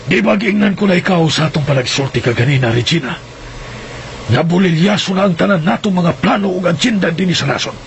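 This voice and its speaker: A high, gravelly male villain for a radio drama, cold and arrogant. He speaks bluntly and scornfully through a clear layer of vehicle noise. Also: brisk. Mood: impatient. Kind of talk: radio drama acting in Cebuano. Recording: noisy, helicopter-like roar behind.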